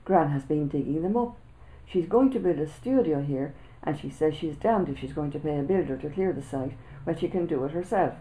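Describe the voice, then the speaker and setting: A woman's voice: low-pitched, expressive, a little fast, dark tone, low-quality recording. A woman voicing an easygoing, grinning roly-poly boy in a storybook reading, speaking with confident, knowing ease.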